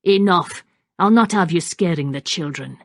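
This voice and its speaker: Woman acting a firm, low-voiced clan leader. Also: a little slow, no room sound, clean studio sound. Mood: sharp, commanding. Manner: firm, sharp, authoritative. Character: stern, commanding male clan leader, protective, thirties-aged.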